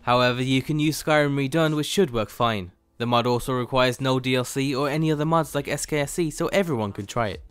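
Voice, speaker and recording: A man's voice: medium pitch, a little fast, few pauses, a bit bright, clean tone, dry recording. A man hosting a game mod video review, reading scripted narration. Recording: faint music behind the voice. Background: faint music.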